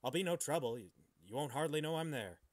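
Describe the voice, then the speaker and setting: A man reading the part of a grouchy, defensive alien parasite in a podcast story, huffy and halting. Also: a little high, expressive, no room sound. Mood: huffy, pleading. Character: grouchy, defensive alien parasite living inside a man's body. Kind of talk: hobby podcast dramatic reading.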